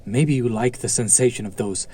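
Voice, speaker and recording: A man playing a smug, seductive demon in a roleplay audio drama, coaxing and condescending with deliberate pauses and a teasing menace. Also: medium pitch, warm tone, breathy edge, close to an everyday voice.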